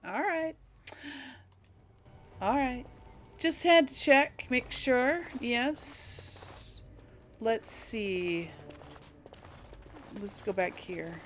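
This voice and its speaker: A woman's voice: fairly high pitch, expressive, extremely slow, long pauses, slight background noise. A woman giving casual commentary as she role-plays through a video game, thinking aloud hesitantly. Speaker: video game streamer role-playing for an audience.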